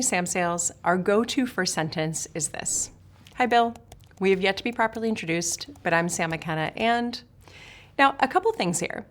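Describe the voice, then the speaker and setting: Woman sales coach from Switzerland; direct, emphatic instructional delivery to camera, middle pitch. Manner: emphatic, direct, addresses viewer. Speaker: sales trainer. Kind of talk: scripted instructional talk to camera.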